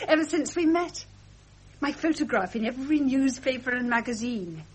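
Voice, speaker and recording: A woman's voice: sits high, moderate pace, slightly rough. A woman playing a coquettish society beauty in a radio drama, teasing and romantic. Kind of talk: 1970s British radio drama acting.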